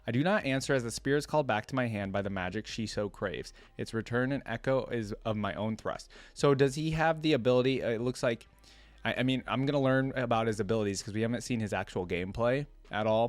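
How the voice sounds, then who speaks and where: A man's voice: mid-range voice, brisk, no echo. A man hosting a reaction video, reading a story aloud and adding casual, musing asides.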